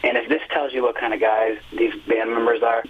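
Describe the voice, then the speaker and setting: Husky fifty-year-old man over a phone line. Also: medium pitch, very animated, brisk. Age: 50s. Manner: rambling, earnest, many fillers. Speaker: singer and music teacher. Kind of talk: relaxed phone interview storytelling. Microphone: telephone.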